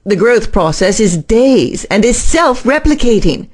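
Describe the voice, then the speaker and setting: A woman's voice: on the high side, big pitch swings, unhurried, a little mellow. A woman acting an earnest, eager-to-please scientist in an audio drama.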